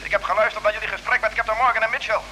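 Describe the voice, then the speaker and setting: A man's voice: high voice, steady tone, very fast, sharp tone. An actor in an old Dutch radio drama playing a male space explorer speaking over the radio link. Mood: sober, concerned. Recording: noisy old radio recording.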